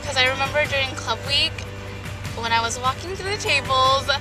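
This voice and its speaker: A woman's voice: high voice, lively intonation, moderate pace, distant mic, music in the background, low-quality recording. A young woman, a college student, gives a casual farewell testimonial, hesitant and rambling with many fillers. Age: young adult. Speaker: college student club member.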